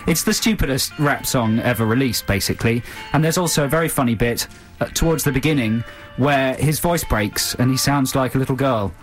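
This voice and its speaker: A man's voice: medium pitch, a little fast, hardly any pauses, faint music behind. A man co-hosting a radio show, bantering playfully and teasing callers. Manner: playful, teasing, laughs often. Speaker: radio DJ co-host.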